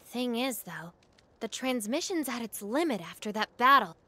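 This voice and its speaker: A woman's voice: a little high, animated, normal speed, sharp tone. A woman playing a confident, practical young mechanic in a video game. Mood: practical, friendly.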